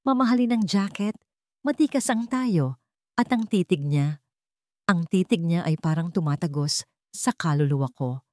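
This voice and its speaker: Casting: woman narrator for romantic audio drama, slightly low voice, swooping intonation, deliberate dramatic phrasing. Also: animated, mellow, dry recording, clean studio sound. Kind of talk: dramatic scripted first-person story narration.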